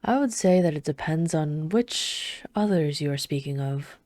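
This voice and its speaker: Woman as a low-voiced, restrained dutiful knight. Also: expressive, a little mellow, dry recording. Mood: wry, restrained. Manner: dry, restrained, formal, measured. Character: reserved, dutiful female knight, dryly scolding royal lover. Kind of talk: ASMR roleplay acting.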